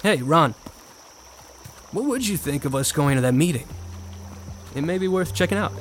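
A man's voice: a little high, expressive, moderate pace, soft background music, lightly acted. An actor playing a privileged, wry young male college student who narrates and talks in an audio drama, casual and dry. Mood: casual, coaxing. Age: young adult.

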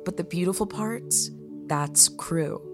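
A woman's voice: lowish voice, slow, over music. A woman playing a concerned, frank young college rowing mentor in a naturalistic audio drama. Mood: earnest, passionate. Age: young adult. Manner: frank, earnest.

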